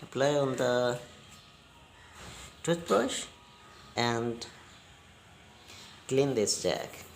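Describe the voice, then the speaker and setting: A man giving a hands-on repair demonstration in halting, non-native English, step by step. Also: mid-range voice, gravelly, reverberant, a little hiss or hum. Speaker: repair technician giving a tutorial.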